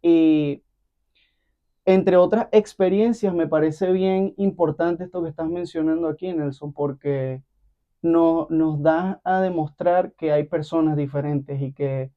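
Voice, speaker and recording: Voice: mid-range voice, fairly even intonation, a little slow, muffled recording. An interview host asking questions in a casual, affirming, conversational way. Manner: affirming and conversational, with small listening sounds.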